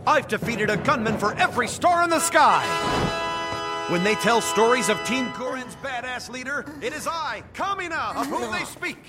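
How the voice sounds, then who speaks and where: An actor playing a brash, boastful young male anime hero in a dubbed clip, with loud music behind. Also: very high voice, lively intonation, moderate pace. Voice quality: loud, swaggering.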